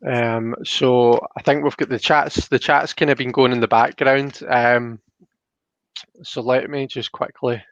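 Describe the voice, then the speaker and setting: A man's voice: medium pitch, fairly even intonation, unhurried, a bit bright, no room sound, highs cut off. A man hosting a casual livestreamed tarot reading, explaining things in an informal, hesitant, chatty way. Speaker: host.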